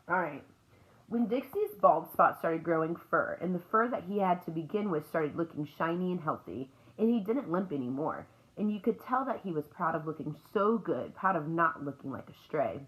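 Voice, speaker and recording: A woman reading a children's book aloud as an expressive storyteller. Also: fairly low pitch, rough recording.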